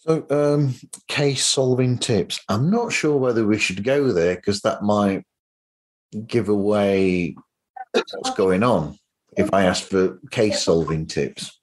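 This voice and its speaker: A man's voice: fairly low pitch, takes it slowly, low-quality recording. A man hosting a casual podcast interview in a hesitant, meandering way. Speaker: podcast host.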